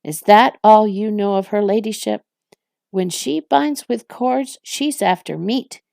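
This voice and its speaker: A woman's voice: medium pitch, unhurried, gravelly, darkish tone, no room sound, studio quality. A woman voicing an irritable, growling male orc captain from a fantasy storybook, blustering and snarling. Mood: angry, scornful.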